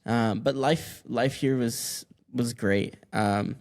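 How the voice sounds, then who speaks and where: Man, twenties, from Mexico, podcast guest, relaxed, rambling, restarts often. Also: medium pitch, slow. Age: 20s. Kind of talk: casual interview answers.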